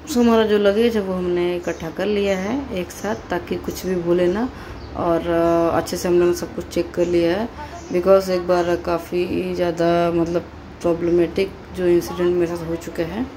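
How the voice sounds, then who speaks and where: A woman with a somewhat low voice narrates her family's train journey like a casual travel vlog. She talks loosely and chattily, dropping in English words and fillers. Faint noise hums under her voice. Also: little pitch movement, moderate pace, mellow. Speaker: travel vlogger and mother.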